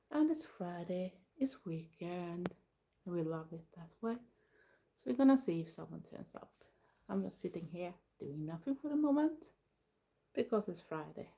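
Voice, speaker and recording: A Swedish woman, a native Swedish speaker and older goth streamer, chatting casually in English with a Swedish accent, pausing often while she waits for her live chat. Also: fairly low pitch. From Sweden. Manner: relaxed, chatty, pauses often to read the chat.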